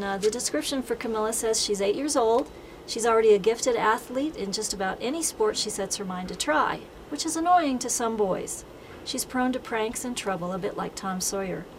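A woman's voice: medium pitch, low-quality recording. A woman working as a casting director, explaining her work in a casual office interview. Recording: office with faint background noise.

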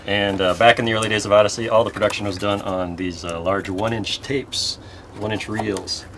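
A man's voice: fairly low pitch, steady tone, normal speed, background noise. A man casually explaining an audio library to visitors as a staff member showing them around.

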